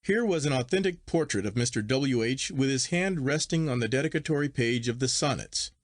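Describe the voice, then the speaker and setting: A man narrates classic fiction in a medium-pitched voice with lively, wide-moving intonation. His delivery is measured, articulate and expressive, clearly scripted storytelling. The sound is dry, with no room around it. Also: dry recording. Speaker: audiobook reader.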